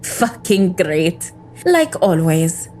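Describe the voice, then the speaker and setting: A woman's voice: lowish voice, very animated, drawn-out words, a little mellow, soft background music, exaggerated voice. A woman playing an embittered, defiant agent in an audio drama, with a world-weary, intense, sardonic delivery. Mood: sardonic, tense. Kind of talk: scripted audio drama monologue and dialogue.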